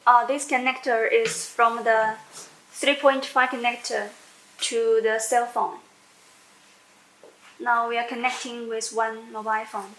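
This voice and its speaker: A woman giving an unscripted step-by-step software demonstration, halting as she searches for words and repeats phrases. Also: a little high, little pitch movement. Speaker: software tutorial presenter. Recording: echoey room with faint background noise.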